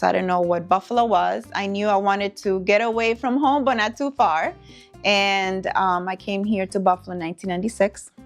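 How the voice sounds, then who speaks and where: A middle-aged woman from the Dominican Republic, raised in the Bronx, shares her life story in an interview. Her voice sits slightly low, with music playing softly behind her. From Dominican Republic, raised in the Bronx from age eight. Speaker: interview guest, entrepreneur.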